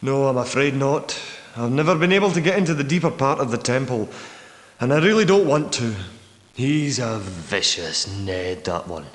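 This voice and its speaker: A man's voice: medium pitch, expressive, normal speed, natural voice. Echoing, weary old Scottish war veteran, acted by a man. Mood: grave, confiding. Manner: guarded, plain-spoken, dramatic delivery. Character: weary, wary old war veteran trapped underground for years. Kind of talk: video game character dialogue. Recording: echoey, long hall-like reverb.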